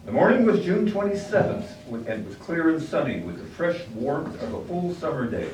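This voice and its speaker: A man's voice: a little high, sing-song, fairly quick. A man playing the folksy narrator of a small-town American tale, reading scripted storytelling narration in a live play. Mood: scene-setting. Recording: echoey, distant, faintly noisy room.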